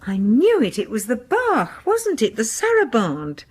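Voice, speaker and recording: A shy, proper British woman in a radio chiller. Her voice is very husky and breathy, fairly high, and moves up and down in lively swings. She is polite, tentative and full of questions. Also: unhurried, heavily acted. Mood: puzzled, uneasy. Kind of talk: radio horror drama acting.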